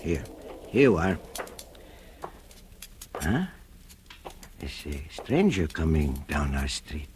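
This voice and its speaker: An older man, acting a gentle fatherly shoemaker and narrating in an old radio drama, with soft chuckles. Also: extremely low pitch, sing-song, slow, pauses often, a little hiss or hum.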